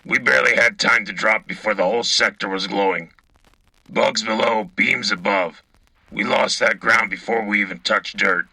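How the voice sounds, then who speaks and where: Man doing a gruff cynical war veteran, raspy gravelly voice, hard forceful edge, terse sardonic radio drama lines. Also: fairly low pitch, normal speed, dry recording, heavily acted, poor audio. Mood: grim, weary. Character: gruff, cynical battle-hardened male soldier co-host. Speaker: radio co-host reporting from the front.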